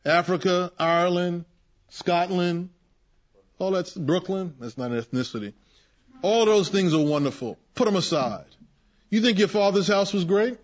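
A man's voice: sits high, moderate pace. Emphatic man preaching, muffled recording. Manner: emphatic and animated, acting out quoted voices. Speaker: Bible study leader. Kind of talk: preaching in a group Bible discussion.

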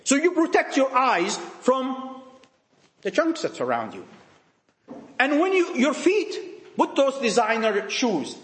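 A male preacher with a high voice that swings widely in pitch as he drives his points home. He fires rhetorical questions at the young people in front of him, repeating phrases and breaking off mid-sentence to start again. His voice rings around an echoey church. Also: big pitch swings, slow, fairly bright tone. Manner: emphatic, full of rhetorical questions.

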